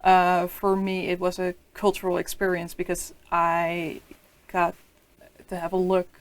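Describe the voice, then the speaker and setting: A woman's voice: fairly low pitch, completely flat delivery, a little slow, clear, resonant tone. A young woman with a Dutch accent, a student teacher reflecting hesitantly on a video call. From Netherlands. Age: young adult. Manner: hesitant, many fillers. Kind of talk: casual reflection.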